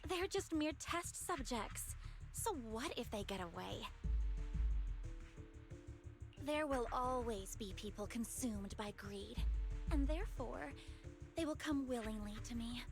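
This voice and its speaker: A young female video game villain with a high, theatrical voice. She is haughty and fanatical, taunting with cruel conviction. Prominent game music runs underneath. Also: lively intonation, a little slow, creaky. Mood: cold, haughty. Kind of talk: dramatic video game voice acting.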